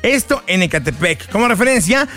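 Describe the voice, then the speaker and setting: A Mexican man co-hosting on the radio with a very high voice and a playful, joking tone. Soft music plays behind him in the studio. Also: expressive, fairly quick. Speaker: radio co-host. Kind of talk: casual radio banter.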